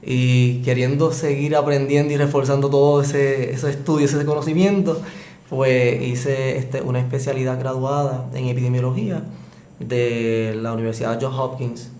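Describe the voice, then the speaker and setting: Casting: Puerto Rican man, formal guest, mid-pitched, echoey room. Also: fairly even intonation, normal speed, dark tone. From Puerto Rico. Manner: formal, fills with "¿verdad?". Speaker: epidemiologist guest. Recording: echoey room, faint noise.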